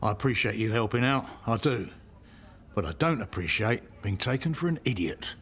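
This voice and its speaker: An actor playing a suspicious, jealous middle-aged husband, terse and serious, in a radio soap. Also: male voice, lowish voice, animated, normal speed, fairly bright tone. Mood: confrontational.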